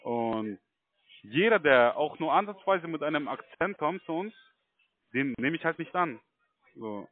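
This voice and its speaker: A man from Germany talking casually on the phone in a halting, repetitive way, often checking he is followed. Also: on the high side, lively intonation, dry recording. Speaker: call center recruiter. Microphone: telephone. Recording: tinny phone line.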